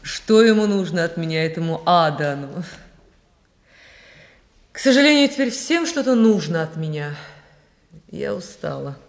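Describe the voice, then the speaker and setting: An actress playing a proud, weary, wealthy widow in a radio play, languid and dramatic in manner. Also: female voice, lowish voice, lively intonation, unhurried, pauses often. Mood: weary, haughty. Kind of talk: Russian radio play acting.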